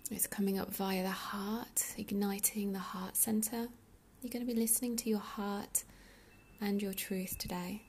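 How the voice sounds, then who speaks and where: A woman leading a guided meditation in a soothing, unhurried, breathy soft delivery with pauses. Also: mid-range voice, little pitch movement, slight background noise. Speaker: meditation guide, yoga teacher.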